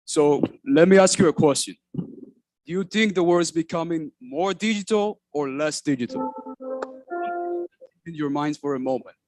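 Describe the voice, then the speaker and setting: Male presenter, high pitch, rehearsed pitch talk, dry mic. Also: normal speed, pauses often, no room sound, faint music behind, low-quality recording. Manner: steady, scripted delivery with enumerated points. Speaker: startup founder presenting.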